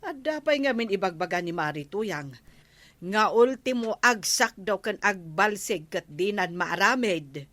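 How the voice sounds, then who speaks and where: A woman's voice: medium pitch, animated, measured pace, sharp tone, no echo. A woman with an Ilocano accent acting as an indignant, nagging villager in a radio comedy drama.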